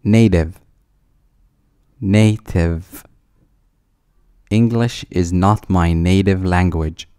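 A man with a low, mellow voice reads a vocabulary list at a very slow, deliberate pace. He says each word twice, then reads an example sentence. The sound is dry and close. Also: steady tone, smooth, no room sound. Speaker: vocabulary reader.

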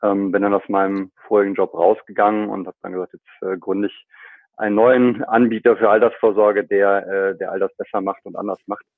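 A man's voice: lowish voice, flat delivery, a little fast, no room sound. A man from Cologne, a startup founder chatting as a podcast guest, full of fillers and restarts. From Germany, Cologne. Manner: chatty, many fillers and restarts, laughs often. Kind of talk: podcast interview. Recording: dry, phone-like line.

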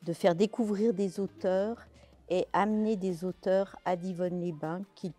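A woman in her sixties answering interview questions, slowly and hesitantly, with many fillers and restarts, seated in a large bare indoor hall. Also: on the low side, steady tone, warm tone, soft background music. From France, Pays de Gex. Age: 60s. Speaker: interviewee, literary event organizer.

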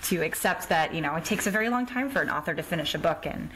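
Woman, American accent, slightly low voice, conversational podcast host, faint background noise. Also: lively intonation, fast talker. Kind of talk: conversational interview. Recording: clear background noise, clicks.

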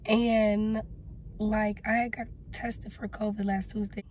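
A young woman with a hoarse voice, explaining herself in a casual vlog from her parked car. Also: mid-range voice, flat delivery, slow pace, a little mellow, a little hiss or hum. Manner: defensive, explaining, gestures with her hand. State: hoarse, recovering from losing her voice. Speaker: small business owner vlogger. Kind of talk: casual direct-to-camera talk. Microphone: phone camera close up.

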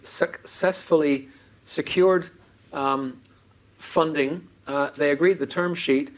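A man's voice: fairly high pitch, steady tone, takes it slowly, low-quality recording. Earnest middle-aged man hosting a panel. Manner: earnest, repeats words when indignant. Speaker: panel host. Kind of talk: fireside chat on stage. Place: conference stage before an audience. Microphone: lapel mic.

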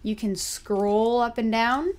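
A young woman co-hosting a casual home product review, pitching a gadget in a playful infomercial manner. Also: takes it slowly, a little mellow. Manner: playful mock infomercial delivery. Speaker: co-host. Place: home room at a desk.

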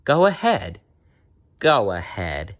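A man's voice: on the high side, big pitch swings, moderate pace, pushed and bright, lo-fi sound, pitched above the performer's own voice. A man voicing a nasty, cold-hearted mad scientist in a children's story, with an icy, gloating, sneering manner. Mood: smooth, coaxing.

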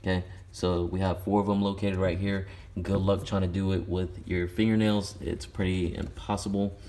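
A man's voice: deep voice, level tone, moderate pace, dark tone. A man giving a casual step-by-step how-to while working on a car in a repair shop garage. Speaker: auto mechanic. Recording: echoey garage with faint noise.

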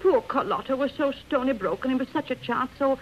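A woman's voice: high-pitched, brisk, lightly acted. A woman playing a grand, gushing society lady in a 1930s radio drama, theatrical and cajoling. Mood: coaxing, sweet. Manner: affected, theatrical. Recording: muffled, hissy 1930s radio recording.

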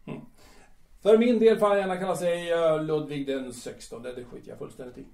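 A man's voice: fairly high pitch, steady tone, gravelly, darkish tone. An actor in an audio drama playing a proud, eccentric male playwright, hesitant and muttering, then indignant and declamatory. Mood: scornful. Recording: roomy, echoing sound.

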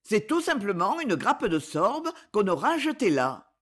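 A woman voicing a calm, talkative boy in a storybook reading, speaking in a matter-of-fact way. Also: mid-range voice, a little slow. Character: calm boy who remarks on everything.

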